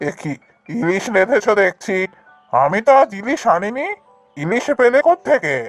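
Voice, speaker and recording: A man's voice: high voice, big pitch swings, normal speed, no echo, faint music behind. A man voicing a stubborn, grumbling young village husband and elder brother in a cartoon fairy tale, scolding and complaining. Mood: suspicious.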